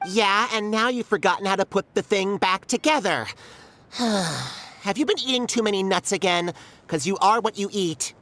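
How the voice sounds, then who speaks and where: A woman's voice: medium pitch, moderate pace, a bit bright, natural voice. A woman voicing a sarcastic, no-nonsense little forest creature in a video game, with a dry, scolding manner. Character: sarcastic, no-nonsense paper forest creature, exasperated but helpful. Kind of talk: animated video game voice acting.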